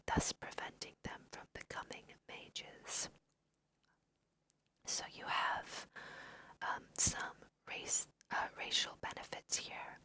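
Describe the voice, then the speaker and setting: A woman speaks entirely in a bright, sharp-edged whisper, with no voiced tone. She takes her time as she gently explains a video game in ASMR style. She has a slight cold, and her delivery stays soft, breathy and unhurried. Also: low-quality recording. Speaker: let's play host guiding through a video game.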